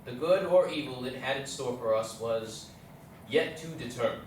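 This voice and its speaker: A man acts out an earnest explorer captain reading his journal on stage, measured and formal. The voice is mid-pitched, distant and swimming in room echo, with faint noise. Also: lightly acted. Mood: solemn hope. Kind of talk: readers theatre journal recitation. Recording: echoey hall, distant mic, faint noise.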